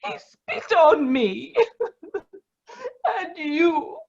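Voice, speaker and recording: An actress playing a bitter, proud, anguished Sicilian woman in a 1940s-style radio drama, halting and emotional, over a dull video call. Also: sits high, animated, creaky, heavily acted. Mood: bitter, hysterical.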